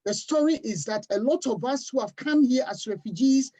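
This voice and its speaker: A woman from Africa speaking on a panel in an earnest, deliberate and emphatic way. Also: fairly high pitch, expressive, warm tone. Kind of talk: panel discussion talk. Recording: dull lo-fi livestream audio.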